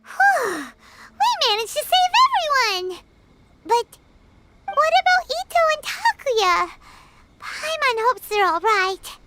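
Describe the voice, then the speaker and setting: A woman voicing a small, childlike fairy companion in a video game, with a cute, squeaky voice and an expressive, earnest manner. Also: takes it slowly, pauses often, very noisy, full character voice. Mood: relieved, worried. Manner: refers to self in third person, expressive. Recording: loud music under the voice.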